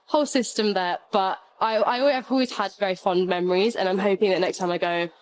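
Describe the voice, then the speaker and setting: A woman's voice: medium pitch, fairly even intonation, brisk. A young woman student raised in England, talking casually in an interview with lots of "like" and "you know". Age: young adult.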